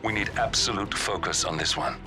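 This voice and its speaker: An actor playing a serious, focused covert operative in a video game, directing a partner in terse, businesslike lines. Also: male voice, fairly low pitch, a little fast, over music, natural voice. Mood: focused, intent. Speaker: mission partner on comms. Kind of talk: scripted game radio dialogue.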